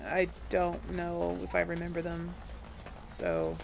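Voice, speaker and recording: Casting: woman gamer-host, slow, creaky, very animated pitch, chatty commentary. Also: background noise. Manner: chatty, laughs often, thinks aloud. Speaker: gaming channel host. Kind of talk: casual gameplay commentary.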